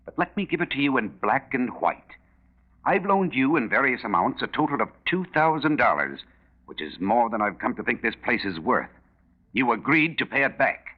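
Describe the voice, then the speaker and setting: A stern, hard-nosed moneylender in a 1940s radio drama. His scolding is sarcastic and emphatic, with big swings in pitch, caught on a dull vintage recording. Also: very animated, moderate pace. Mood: scolding, scornful.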